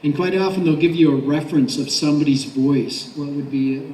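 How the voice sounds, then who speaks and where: Middle-aged male panelist, lightly high voice, loose anecdotal delivery, echoey room sound. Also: brisk, noisy background. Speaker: voice director and actor, panelist. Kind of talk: casual convention panel talk. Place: large indoor convention panel room. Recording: roomy convention hall, distant mic.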